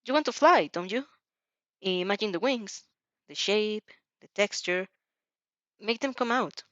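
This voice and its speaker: A woman voicing a patient female mentor in an audio story, slow, deliberate and coaxing. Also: mid-range voice, animated, a bit bright, dry recording. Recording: dull, muffled lo-fi recording.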